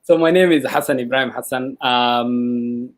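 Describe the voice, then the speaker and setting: A man from Kenya, a graduate student speaking on a panel, hesitant, with many pauses. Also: medium pitch. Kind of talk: panel discussion remarks.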